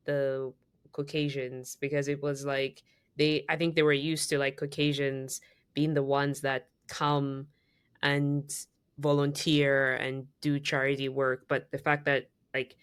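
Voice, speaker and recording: A woman from Zambia tells a long story in a low, deep voice, full of "like" and false starts. The sound is dry and close, with no room around it. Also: smooth, no echo. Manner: chatty storytelling, many fillers and restarts. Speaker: podcast co-host. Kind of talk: casual podcast conversation.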